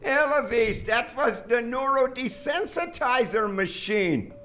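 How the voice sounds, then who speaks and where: An actor playing a villainous, exasperated mad doctor in a hammy, imperious way in a live radio drama. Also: male voice, very high voice, lively intonation, slow, exaggerated voice. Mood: commanding, angry. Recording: echoey live stage recording with noise.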